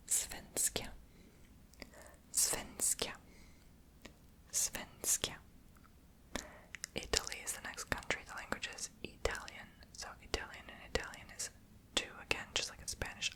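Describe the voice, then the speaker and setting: A woman whispering in ASMR style into a close mic. She repeats each foreign word softly, over and over. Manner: soft whisper, slow repetitions. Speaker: ASMR video host.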